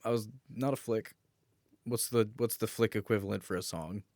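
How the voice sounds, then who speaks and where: A man's voice: fairly low pitch, fairly even intonation, moderate pace, darkish tone, a little hiss or hum. A man co-hosting a podcast in casual comedic banter, joking with crude humour. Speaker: podcast co-host.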